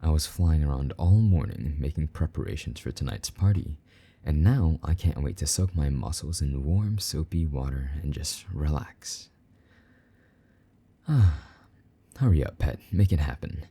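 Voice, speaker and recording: A man performs a possessive demon lover with an extremely low, very dark and mellow voice, a little husky. He teases and dotes intimately, drawing out his taunts as in an audio roleplay. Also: lively intonation, exaggerated voice. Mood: commanding, playful. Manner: taunting, doting, intimate, drawn-out teasing. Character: possessive, teasing male demon lover with soft wings. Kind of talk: intimate scripted roleplay monologue.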